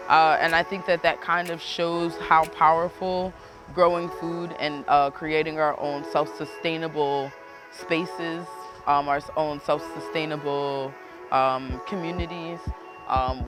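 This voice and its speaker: A man's voice: a little high, level tone, a little slow. A man, an urban farmer, speaking reflectively as if in an interview. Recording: music laid under the voice.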